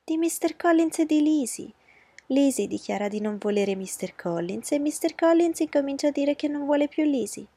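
A woman voicing an agitated middle-aged mother who talks high and fast in alarm. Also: animated, dark tone, no room sound. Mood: frantic. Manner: high-pitched, agitated, pleading.

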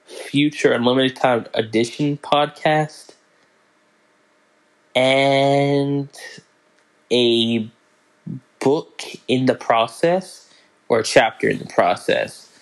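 A young man talks slowly and casually as the host of his own podcast. He often restarts his sentences and stumbles over his words. His voice sits at an ordinary pitch for a man. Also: poor audio. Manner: halting, restarts and fillers, slow unhurried delivery. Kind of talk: casual solo podcast announcements.

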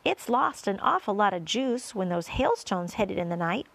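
A woman's voice: medium pitch, big pitch swings, normal speed, gravelly. A woman voicing an earnest, commanding young boy in a storybook, speaking with urgent exclamations.

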